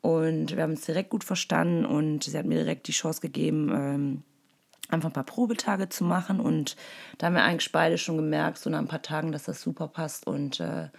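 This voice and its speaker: A woman's voice: low-pitched, fairly even intonation, fairly quick, vocal fry. A young woman from East Belgium with an East Belgian German accent, chatting casually as a podcast co-host, laughing often and using many fillers. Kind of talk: casual chat.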